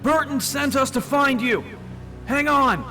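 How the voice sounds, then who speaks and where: A man acts out an urgent man shouting across the sea in an audio drama. The voice is pushed very high and sounds bright and forceful, with faint music behind it. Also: unhurried, gravelly, exaggerated voice. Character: shouting male rescuers and survivors at sea.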